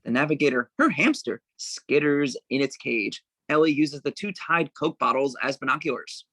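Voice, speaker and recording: A narrator reads stage directions for a script table read. The delivery is plain and descriptive, in a mid-pitched voice with a very dry, close sound. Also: animated, normal speed, fairly bright tone, slightly rough, dry recording.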